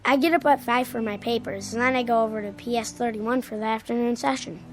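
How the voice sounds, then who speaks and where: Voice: medium pitch, fairly even intonation, quick pace, a little hiss or hum, close to an everyday voice. A performer playing an eager, polite nine-year-old newsboy in a radio drama. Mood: eager, earnest. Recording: faint music behind the voice.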